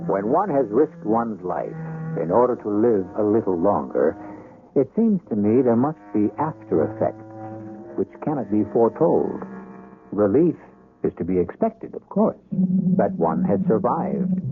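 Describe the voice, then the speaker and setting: Male host-narrator: lowish, very modulated voice, deliberate and ominous, vintage narrow-band broadcast sound with music behind. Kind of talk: scripted dramatic narration. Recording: phone-like old radio broadcast, music behind.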